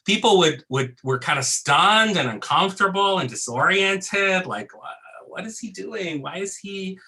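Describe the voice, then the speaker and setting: A man hosting a casual podcast with a bright, forward voice. He tells stories in an animated way, breaking into "um" and "uh" as he searches for words. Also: on the high side, rough recording. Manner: animated storyteller, hesitant with fillers.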